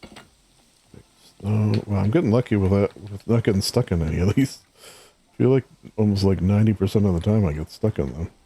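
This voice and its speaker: A hoarse man mumbling to himself as he plays a game, thinking aloud and trailing off, speaking close to a desk mic at home. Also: lowish voice, a little fast, mellow. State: hoarse, losing his voice. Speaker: gaming streamer.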